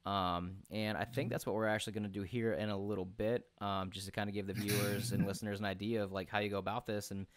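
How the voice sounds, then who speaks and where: A young man hosting a podcast over a video call, talking quickly with many fillers into a headset and studio mic in a small room at home. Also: lowish voice, flat delivery, no room sound. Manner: fast, rambling, many fillers. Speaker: podcast host. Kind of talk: casual video call chat. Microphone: headset with large studio mic.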